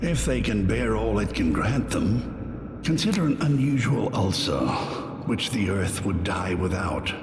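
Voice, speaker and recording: An actor playing a disdainful, philosophical herald of plague in a theatrical game pantomime, declaiming with scorn. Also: male voice, lowish voice, big pitch swings, measured pace, darkish tone, slight background noise. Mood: ominous. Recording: echoey hall, long reverb, music behind. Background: music.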